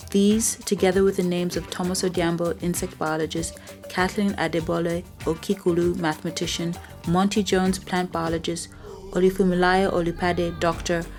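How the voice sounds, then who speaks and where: A woman with a Zambian accent reads a scripted documentary narration in a measured, earnest way. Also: on the low side, darkish tone. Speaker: film narrator. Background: background music.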